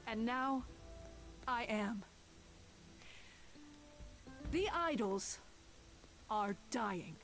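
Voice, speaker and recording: An actress playing a proud, grave goddess leader in a video game, speaking in a formal, declamatory way. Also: female voice, fairly high pitch, animated, drawn-out words, fairly bright tone, soft background music, heavily acted. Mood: grave oratory. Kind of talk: dramatic video game voice acting.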